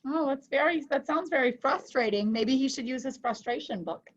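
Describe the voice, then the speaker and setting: Husky lady doing kids storytime, takes it slow, chatty and exclaiming, kinda lo-fi sound. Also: sits high. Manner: exclaiming, talking to young listeners. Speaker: host of children's story show. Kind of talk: children's read-aloud with chat. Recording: muffled lo-fi audio.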